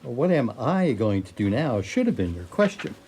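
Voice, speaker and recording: A man playing a menacing, sardonic killer in a radio play, with a husky, dark voice and a deliberate, taunting delivery. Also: medium pitch, sing-song. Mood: taunting menace. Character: menacing, sardonic male killer toying with his victim. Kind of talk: radio theatre acting.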